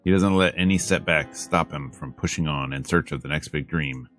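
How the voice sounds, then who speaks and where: A man's voice: rumbling low, moderate pace, a bit bright. A man reading a visual novel aloud as a streamer, dramatic in delivery with wry, joking asides, over game music. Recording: music behind the voice.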